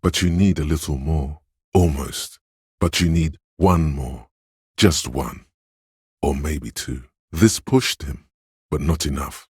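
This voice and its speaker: Very deep, husky, sly inner voice. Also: male voice, no room sound, clean studio sound, full character voice. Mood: urging, conspiratorial. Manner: deliberate, insinuating, theatrical. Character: sly, coaxing inner voice of a detective's mind. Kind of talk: scripted game voice-over narration.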